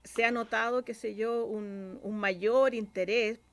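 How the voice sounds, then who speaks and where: A Chilean woman with a fairly high voice talks as an interview guest. She is chatty and rambling, dropping in filler phrases as she explains things in a casual, conversational way. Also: fairly even intonation, takes it slowly. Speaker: interview guest, radio drama scriptwriter.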